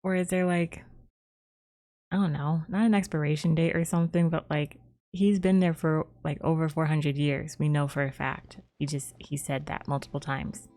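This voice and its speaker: A woman's voice: sits low, fairly even intonation, moderate pace, warm tone. A young woman giving casual live commentary as a game streamer, musing in an informal, rambling way. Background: faint game music.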